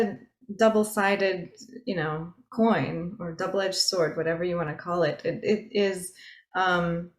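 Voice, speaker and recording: A woman with a lowish voice speaks slowly and thoughtfully on a panel, pausing with fillers as she finds her words. The room around her sounds echoey. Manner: reflective, hesitant, many fillers. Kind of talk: panel discussion.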